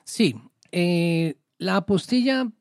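A legal expert, a man, talks through procedures with plenty of restarts and checks for agreement. His voice is gravelly and a touch high. The sound is dry and close, with no room around it. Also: lively intonation, no room sound. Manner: halting, many restarts, tag questions. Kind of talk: explanatory radio interview.

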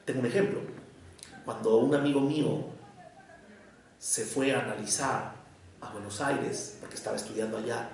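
A man from Mexico with a Mexico City and Jalisco accent, a psychoanalyst giving a measured public lecture in short, deliberate phrases. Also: mid-range voice, steady tone, creaky, darkish tone. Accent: Mexican, Mexico City mixed with Jalisco. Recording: echoey hall from far off.

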